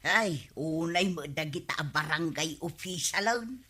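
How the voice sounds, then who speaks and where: A woman's voice: low voice, a little slow, pushed and bright, husky, full character voice. A woman with an Ilocano accent playing an indignant, insistently complaining village wife in a radio comedy drama. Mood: scolding. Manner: scolding, insistent.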